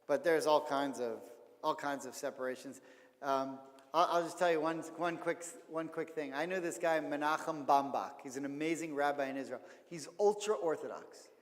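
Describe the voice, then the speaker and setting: A chatty man speaking as a rabbi in a relaxed lunchtime lecture, with a fairly high voice. He talks frankly and often doubles back on his words. The sound carries a long hall reverb. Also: moderate pace. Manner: chatty, frank, repeats words while thinking. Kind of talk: casual lecture with audience questions.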